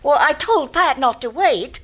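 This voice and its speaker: A woman's voice: high voice, animated, a little slow, fairly bright tone. An actress playing an anxious, flustered wife in a radio detective drama, hesitant and stammering as she pleads for help. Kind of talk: old-time radio detective drama acting.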